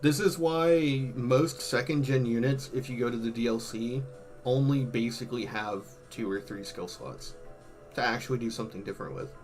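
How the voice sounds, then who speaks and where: A man's voice: mid-range voice, normal speed. Male streamer musing, voice tiring, over music. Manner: slangy, musing out loud. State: voice tiring from hours of talking. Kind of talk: casual live-stream commentary, ranking game tier lists.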